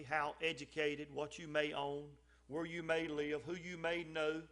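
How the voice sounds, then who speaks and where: A man's voice: a little high, a bit bright. A man speaking as a lay speaker at a church service. His delivery is folksy and conversational, with frequent ums and restarts, and he rises in emphasis on key phrases. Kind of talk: informal church message.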